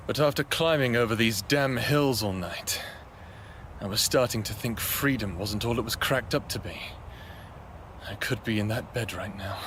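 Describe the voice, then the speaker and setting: A man's voice: on the low side, noisy background, close to an everyday voice. A man playing a sarcastic, stubborn human runaway in his thirties, narrating an audio drama in a casual, wry way. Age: 30s.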